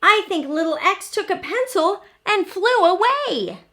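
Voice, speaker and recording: A woman voicing small, excitable alphabet letters like worried children, exclaiming with exaggeration in a storybook read-aloud. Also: very high voice, a little slow, voice pushed up, exaggerated voice. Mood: shocked, alarmed.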